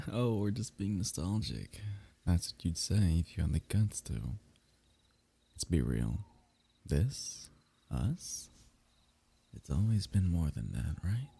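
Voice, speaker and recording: A young man speaking softly and intimately in an ASMR roleplay, teasing and sometimes dropping into a whisper. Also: deep voice, big pitch swings, slow pace, gravelly, long pauses, husky.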